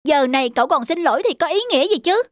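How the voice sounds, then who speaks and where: A woman's voice: high-pitched, animated, fast talker, no echo, studio quality. A woman voicing an earnest, loyal schoolboy in a cartoon, with a sincere way of talking. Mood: hurt, sincere. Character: earnest, loyal schoolboy, hurt but forgiving. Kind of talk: cartoon dubbing. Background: prominent music.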